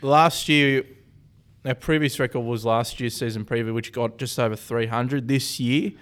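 This is a man hosting a sports podcast. His voice is smooth and clean. He talks casually and loosely, and he often doubles back to correct himself. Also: medium pitch, normal speed. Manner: relaxed, rambling, self-correcting. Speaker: podcast host.

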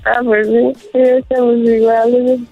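A woman's voice: a little high, level tone, a little slow, creaky, dry recording, soft background music. A woman with a Guatemalan accent chatting casually and hesitantly over a phone. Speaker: prank call target. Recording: muffled lo-fi phone line.

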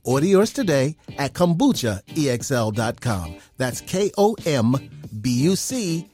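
Lively male announcer over a music bed. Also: mid-range voice, measured pace. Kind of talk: scripted advert and station announcement.

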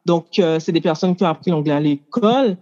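A woman's voice: lowish voice, animated, brisk, warm tone. Woman in her 30s rambling a bit, lots of euh, slightly muffled mic. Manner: hesitant, many fillers and restarts. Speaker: coaching client. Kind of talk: casual chat. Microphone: handheld mic.

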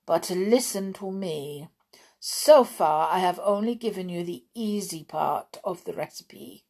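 A woman voicing a ruthless, vain female witch leader, giving orders in slow, emphatic, theatrical pronouncements in a storybook reading. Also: fairly low pitch, lively intonation, a little mellow. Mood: scheming. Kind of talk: audiobook character reading.

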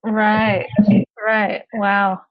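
A woman's voice: medium pitch, level tone, extremely slow, muffled recording. A woman interviewer asking questions and encouraging her guests with "right, right.". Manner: encouraging, frequent "right, right" and "mm-hmm".

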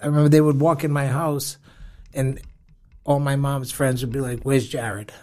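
A boxer as a guest on a casual interview, telling childhood stories in a laid-back way with short replies and chuckles. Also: male voice, medium pitch, flat delivery, normal speed, darkish tone.

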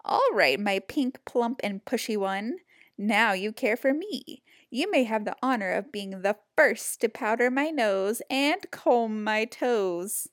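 A woman's voice: a little high, lively intonation, slow, dry recording, studio quality. Woman voicing a bossy cackling little sand creature. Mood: commanding. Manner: bossy and commanding.

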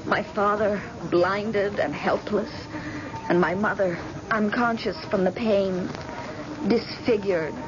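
An actress playing a young, anxious and devoted daughter with earnest, dramatic delivery in an old-time radio drama. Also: female voice, mid-range voice, fairly even intonation, takes it slowly, close to an everyday voice. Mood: bitter, sorrowful. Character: blind man's devoted, anxious grown daughter. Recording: muffled, noisy old radio recording.

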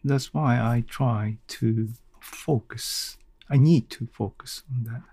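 A man who is not a native English speaker, talking unscripted and reflectively on a solo podcast, thinking aloud in short, halting phrases. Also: medium pitch, mellow, soft background music. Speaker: podcast host, researcher.

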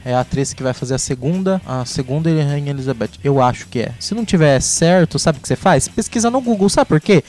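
A man co-hosting a podcast, chatting casually and playfully about TV series with jokes and animated asides. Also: fairly high pitch, quick pace, few pauses, faint music behind. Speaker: podcast co-host.

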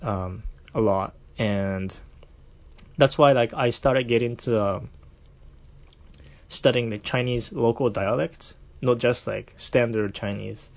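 Hesitant young Japanese man, gravelly voice. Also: mid-range voice, slow, long pauses, dull audio. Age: young adult. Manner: hesitant, many fillers and restarts, laughs easily. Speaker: guest. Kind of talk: casual interview chat.

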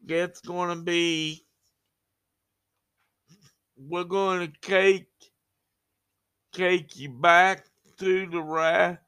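A man introducing a wrestling broadcast, halting and spelling out names. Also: sits high, steady tone, sharp tone, clear, resonant tone. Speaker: show presenter.

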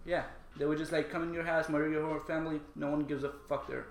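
Casual young guy from pakistan, frank and sweary, fairly high voice, echoey room. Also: flat delivery, quick pace, soft background music. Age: young adult. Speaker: podcast co-host. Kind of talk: casual group chat. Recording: echoey room with faint ambience.

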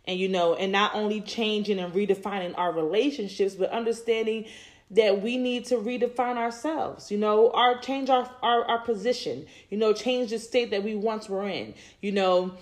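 A woman in her thirties talking to listeners about faith and relationships, rambling and repeating herself with many fillers. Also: mid-range voice. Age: 30s. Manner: rambling, repeats words, many "you know"s. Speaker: podcast host. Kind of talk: faith-based personal talk.

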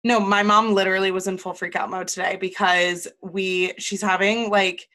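A young woman from Massachusetts with a slight local accent, chatting casually as a podcast co-host in a bubbly, animated way. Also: mid-range voice, slight background noise. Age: young adult. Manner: bubbly, animated storytelling, quick reactions. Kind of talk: casual chat.

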